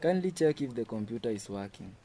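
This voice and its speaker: A man's voice: medium pitch, lively intonation, a little fast, close to an everyday voice. A man playing a polite, deferential office technician in a radio drama, speaking courteously. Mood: polite, hesitant. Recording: clear background noise.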